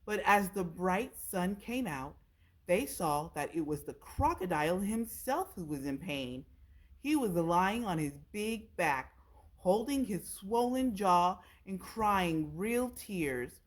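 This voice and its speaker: A woman's voice: fairly low pitch, lively intonation, slow. A woman reading a children's storybook aloud as a storytime narrator. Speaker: storytime reader.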